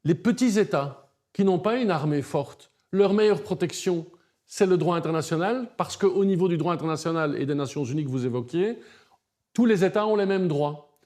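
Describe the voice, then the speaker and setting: A middle-aged man speaks in a mellow, slightly high voice. He is articulate and earnest, building his points with emphasis as an interview guest. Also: lively intonation, moderate pace. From Belgium. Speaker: human rights lawyer, interview guest.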